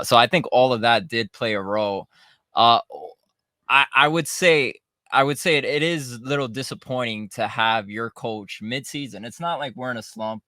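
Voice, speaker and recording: A man's voice: mid-range voice, pushed and bright, dry recording. A man co-hosting a casual soccer podcast in a small studio, thinking aloud and often saying "I would say". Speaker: podcast co-host. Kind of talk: casual sports chat.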